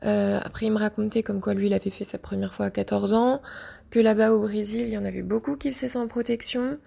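A young woman recounts her experience in a low, mellow voice with a strong gravelly fry. She talks in a flat, even tone and often pauses on fillers as she searches for words. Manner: hesitant, frequent fillers. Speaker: interviewee. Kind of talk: personal testimony.

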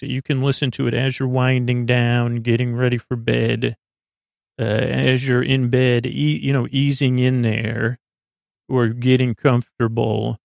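A man hosting a bedtime podcast in a meandering, halting monologue that trails off into tangents. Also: mid-range voice, creaky, no echo. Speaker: podcast host.

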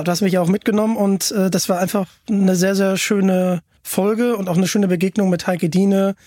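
High-voiced male podcast host, dry sound. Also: mellow, dry recording. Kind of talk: casual podcast moderation.

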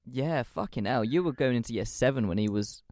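A man's voice: medium pitch, no room sound. Young English man, dry close sound. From England. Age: 20s. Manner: animated, swearing, quick asides. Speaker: podcast co-host. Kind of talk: casual chat.

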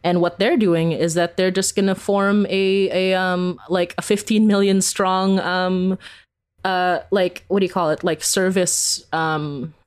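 A woman's voice: fairly low pitch, fairly even intonation, a little slow, clean tone. A woman talking casually as an interview guest, informal and giggly, peppering her sentences with "like". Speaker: interview guest, podcast creator.

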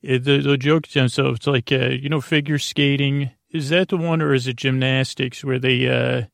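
Man, creaky gravelly voice, dry close recording, halting meandering delivery, lulling bedtime podcast host. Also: medium pitch, steady tone, dry recording. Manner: meandering, halting, hesitant, self-deprecating; lulling, soothing. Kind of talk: rambling bedtime podcast monologue.

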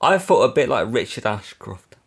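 A man's voice: medium pitch, big pitch swings, moderate pace, creaky, breathy edge. A man co-hosting a radio show, jokey and teasing, often laughing and swearing. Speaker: radio co-host. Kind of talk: loose banter between music tracks.

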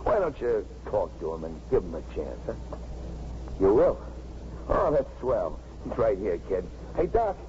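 A man's voice: on the low side, sing-song, unhurried, mellow. An actor in a 1950s radio drama playing an earnest, blunt, dogged policeman who talks plainly, urgently and in slang. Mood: gently coaxing. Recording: muffled, hissy 1950s radio recording.

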